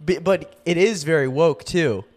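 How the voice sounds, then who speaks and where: A man's voice: on the high side, a little slow, darkish tone. A young man co-hosting a podcast in casual chat, joking and laughing a lot, slipping into mock voices. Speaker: podcast co-host.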